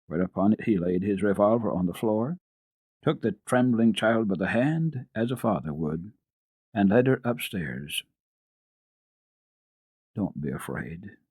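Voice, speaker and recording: A man reads a children's classic aloud as a dramatic radio story. His voice is husky and a little low, with deliberate, expressive phrasing. The sound is dry and close. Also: no room sound.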